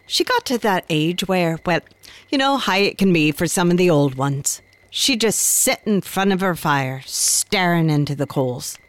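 An Irish countrywoman telling a folk tale in a radio drama. She is chatty and confiding, with very lively pitch and a dry, close sound. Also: no echo. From Ireland. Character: Irish countrywoman recalling her granny's uncanny tale. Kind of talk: conversational storytelling in radio drama.

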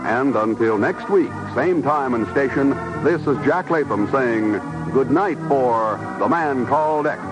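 A man's voice: medium pitch, unhurried, poor audio. 1950s male radio announcer over music. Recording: old radio broadcast with organ music.

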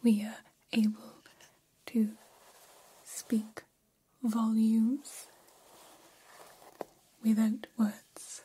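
A woman voicing a gentle, curious female alien in an ASMR roleplay, speaking in a breathy whisper with careful, formal phrasing. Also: fairly high pitch, completely flat delivery, extremely slow, pauses often, full character voice, poor audio. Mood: tender, soothing. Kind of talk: ASMR personal attention roleplay.

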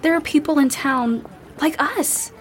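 An actress playing an earnest, hopeful young band member in an audio drama. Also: female voice, high-pitched, unhurried, a little hiss or hum. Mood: reassuring.

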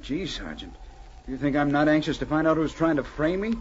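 A man's voice: a little high, lively intonation, brisk, natural voice. An actor playing a defensive, indignant man of the frontier in an old radio drama, protesting in plain-spoken fashion. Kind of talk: 1940s radio drama acting. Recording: muffled old radio recording, faint noise.

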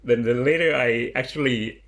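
Lao-man, halting speech. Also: medium pitch, gravelly, a bit bright. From Laos. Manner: halting, repeats words while searching for English. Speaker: guest artist. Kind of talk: casual conversation.

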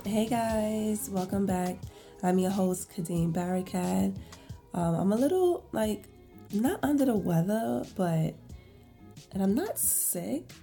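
Congested woman podcast host, dark gravelly voice. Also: medium pitch, slow pace, faint music behind. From Brooklyn, New York. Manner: rambling, self-correcting, animated storytelling. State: congested with a head cold. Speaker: podcast host, esthetician and salon owner. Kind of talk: casual solo podcast chat.

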